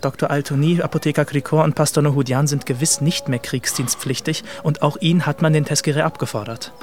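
An actor playing a polite, worried young private tutor, speaking formally and respectfully in a radio drama. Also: male voice, fairly high pitch, fairly even intonation, brisk, darkish tone, natural voice. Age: young adult. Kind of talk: naturalistic German radio drama acting.

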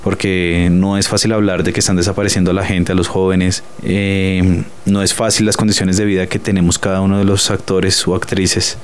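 Low-voiced Colombian man in interview. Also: little pitch movement, moderate pace, slight background noise. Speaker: theatre group director and interviewee. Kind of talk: spontaneous interview testimony.

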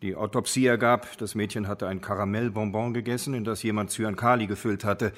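An actor playing a sober lead homicide investigator, measured and matter-of-fact, in a radio drama. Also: male voice, fairly low pitch, steady tone, lightly acted. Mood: sober, factual.

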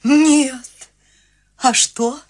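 An actress playing a cheerful, eccentric eighty-year-old countess who talks in a lively, chatty and warmly insistent way in a radio play. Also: female voice, high-pitched, very slow. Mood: encouraging. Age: 80s (figure). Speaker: lead character.

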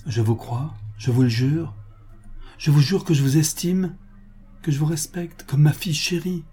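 A man voicing a reserved, courteous gentleman with formal, measured delivery, in a dramatic reading of a novel. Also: a little high, husky, pitched above the performer's own voice. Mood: anxious, earnest. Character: reserved, courteous gentleman, coolly restrained yet anxious.

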